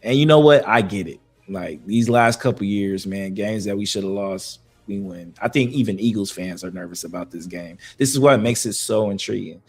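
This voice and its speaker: A man co-hosting a podcast, talking sports in a loose, slangy way with a voice that is going hoarse. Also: on the low side, moderate pace, hardly any pauses, faint music behind. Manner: loose, slangy, animated banter with fillers. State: losing his voice. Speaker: podcast co-host. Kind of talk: casual sports talk.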